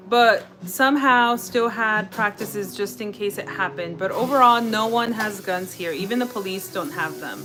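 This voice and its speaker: A woman's voice: mid-range voice, normal speed, few pauses. An American woman hosting a casual livestream, chatting with viewers as she reads out their comments and replies. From United States. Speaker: livestream host. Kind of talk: casual chat with live viewers. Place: home kitchen while cooking. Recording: music playing behind the voice. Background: music.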